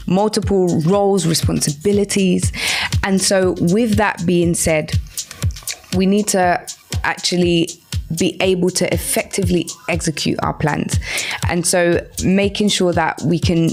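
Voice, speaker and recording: A woman hosting a live radio talk show, encouraging and explanatory, speaking directly to her listeners. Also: fairly low pitch. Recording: music playing behind the voice. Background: background music.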